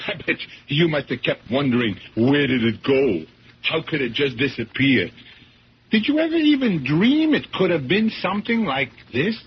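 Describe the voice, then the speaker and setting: A good-natured, talkative fisherman husband in 1970s radio drama style. His voice is fairly high and bouncy, full of colloquial rambling. The sound is muffled and slightly noisy. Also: sing-song, normal speed. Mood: chatty, animated. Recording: dull, noisy old radio recording.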